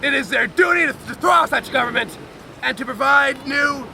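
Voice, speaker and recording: A man giving a loud, dramatic, emphatic reading of a historical document outdoors, with deliberate phrasing. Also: very high voice, creaky. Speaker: reader at an outdoor public reading. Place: outdoors on a lakeside beach. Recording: outdoor crowd and motorboat noise.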